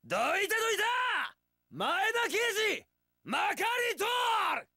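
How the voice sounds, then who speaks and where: A man's voice: extremely high pitch, wildly swooping pitch, unhurried, bright, forceful tone, dry recording, raised voice, clean studio sound, full character voice. An actor playing a carefree young wandering swordsman in an action game, breezy, theatrical and swaggering, a playful romantic brawler. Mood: bold bravado. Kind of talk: Japanese action game voice acting.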